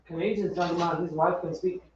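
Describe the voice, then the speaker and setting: A man with an Appalachian accent co-hosting a livestream, playful and quick to laugh. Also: on the high side, steady tone, darkish tone, slight background noise. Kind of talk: casual livestream chat. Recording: echoey room, dull lo-fi sound.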